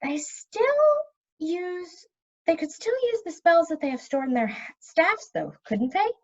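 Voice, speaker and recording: A woman voicing a brisk, outspoken but fond princess with a direct manner in a storybook reading. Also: very high voice, very animated, highs cut off, voice pushed up, full character voice. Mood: curious.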